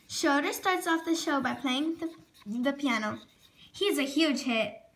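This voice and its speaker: Bright, high-pitched female storybook reader. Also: a little slow. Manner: expressive, stumbles and corrects words while reading. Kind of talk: reading a children's storybook aloud.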